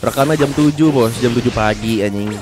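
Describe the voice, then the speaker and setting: Excitable young man with a stuffy nose. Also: mid-range voice, fairly quick. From Indonesia. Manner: excitable, slangy, addresses viewers constantly. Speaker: gaming commentator. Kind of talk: casual gameplay commentary. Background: game music.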